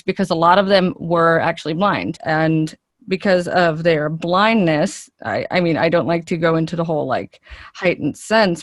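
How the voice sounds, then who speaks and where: A woman's voice: lowish voice, dry recording, studio quality. A woman speaking conversationally as an interview guest, explaining things in a chatty, enthusiastic way. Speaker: interview guest, actress and voiceover artist.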